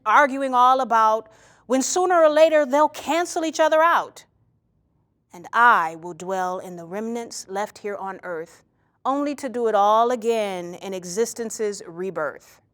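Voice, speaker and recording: Woman reciting rhymed verse, higher-pitched. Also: fairly bright tone. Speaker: actor. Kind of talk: dramatic poetry recitation.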